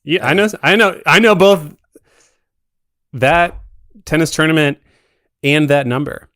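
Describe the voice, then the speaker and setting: A man as a podcast guest, chatting casually with deadpan, laid-back jokes. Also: fairly high pitch, unhurried, dry recording, clean studio sound. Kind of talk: casual chat.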